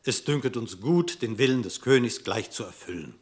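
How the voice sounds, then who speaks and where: A man narrating a classic radio play in verse, in an expressive, declamatory storytelling manner. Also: medium pitch, normal speed.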